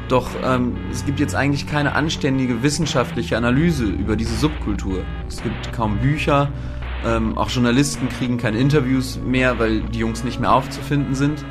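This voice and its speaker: A man giving explanatory commentary in a documentary interview. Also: medium pitch. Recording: music under the voice.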